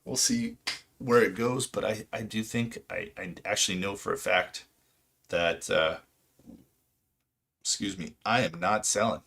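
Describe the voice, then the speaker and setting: A man in his late thirties, from Poland and raised in California, chatting casually and unscripted on a livestream in a halting, self-deprecating, rambling way. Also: fairly low pitch, creaky, fairly bright tone, husky. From Poland until age seven, then southern California. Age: late 30s. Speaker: livestream host, amateur retail trader.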